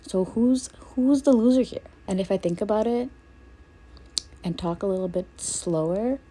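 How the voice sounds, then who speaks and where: A woman's voice: medium pitch, normal speed, warm tone. A young woman from Fiji, telling a casual story in an exasperated, mocking way.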